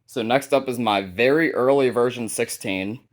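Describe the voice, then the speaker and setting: A man's voice: medium pitch, moderate pace. A man hosting a hobby video, narrating a casual show-and-tell of a collection.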